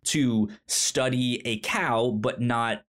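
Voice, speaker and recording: A man talks casually as a film podcast co-host in an informal, rambling way. His speech is halting, with a stutter that repeats sounds and syllables before words come out. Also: medium pitch, fairly bright tone. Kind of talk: casual movie discussion.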